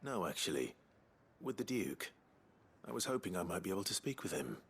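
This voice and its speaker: An actor playing a brooding but courteous mercenary hero in a video game, earnest, restrained and terse. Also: male voice, sits low, very animated, brisk, a bit bright, slightly rough, heavily acted. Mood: resolute, polite. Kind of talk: scripted video game drama.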